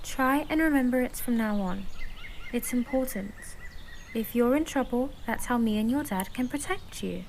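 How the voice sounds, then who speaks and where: Tender, high-voiced mother, acted by a woman. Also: expressive, moderate pace, darkish tone, slight background noise. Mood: tender, coaxing. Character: gentle, watchful mother teaching her small child. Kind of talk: audio drama acting.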